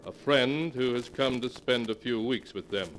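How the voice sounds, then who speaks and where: An actor playing an ominous storyteller, narrating a horror anthology in a portentous, theatrical 1940s radio style. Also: male voice, mid-range voice, moderate pace, fairly bright tone, close to an everyday voice. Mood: solemn. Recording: noisy old 1940s radio recording.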